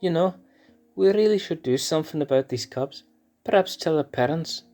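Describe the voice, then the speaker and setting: A man's voice: fairly high pitch, animated, moderate pace, mellow, soft background music, pitched above the performer's own voice. A man voicing a shy, sensible young male lion cub who speaks hesitantly and reasons with his friend. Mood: earnest.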